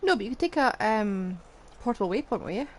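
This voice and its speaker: A woman's voice: a little high, very animated, fairly quick. A woman game streamer giving casual live commentary, chatting to herself as she plays. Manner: chatty, talks to herself while playing.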